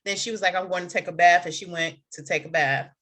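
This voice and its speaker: A woman in casual chat recapping a TV episode, animated and acting out the characters' lines as she goes. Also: lowish voice, quick pace. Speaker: co-host of a TV show review.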